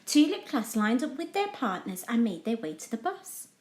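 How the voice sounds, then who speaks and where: A woman narrates a children's story as a storyteller. Her voice is fairly high and very animated, with noticeable room echo. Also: moderate pace. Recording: echoey room.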